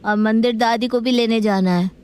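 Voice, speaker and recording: A woman voicing a sly, wheedling schoolboy in an animated moral story. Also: fairly high pitch, normal speed, clean tone, close to an everyday voice. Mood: sheepish. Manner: pleading, sly. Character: cheeky, wheedling schoolboy outwitting his elders.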